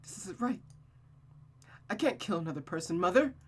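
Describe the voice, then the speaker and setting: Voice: medium pitch, expressive, normal speed, slight background noise, voice pushed up, lightly acted. A performer voicing a frightened young daughter who stammers and pleads in a panicked way in a visual novel. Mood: pleading. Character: frightened, pleading young daughter under her mother's sway.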